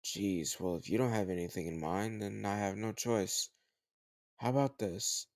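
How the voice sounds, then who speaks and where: Guy acting as a slightly older tutor dude, lowish voice, teasing n gently scolding, close dry roleplay audio. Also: moderate pace, no echo, natural voice. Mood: teasing, coaxing. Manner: conversational, teasing, gently chiding. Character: teasing, slightly older male home tutor, patient but sly. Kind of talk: intimate roleplay audio drama addressed to the listener.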